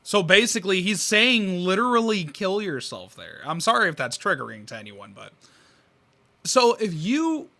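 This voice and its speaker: Reaction host: young man, slightly high and sharp-edged voice, blunt, off-the-cuff. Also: big pitch swings, moderate pace, gravelly. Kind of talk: casual reaction commentary.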